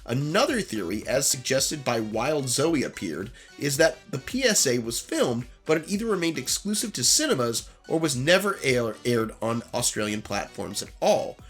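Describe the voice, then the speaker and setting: A man's voice: mid-range voice, moderate pace, hardly any pauses, soft background music. A man with a nasal voice from a cold, narrating a lost media video with self-corrections. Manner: animated reading with self-corrections. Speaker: host.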